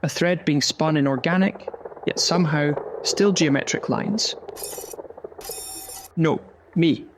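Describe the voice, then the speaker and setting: Male actor as young woven fantasy creature: earnest, halting, raised pitch, audio drama with mechanical noise behind. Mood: wondering.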